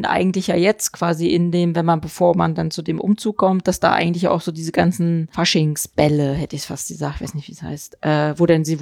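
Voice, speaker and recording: A woman's voice: on the low side, vocal fry, darkish tone, dry recording, studio quality. A woman in a casual opinionated discussion, hesitant and searching for her words as she goes.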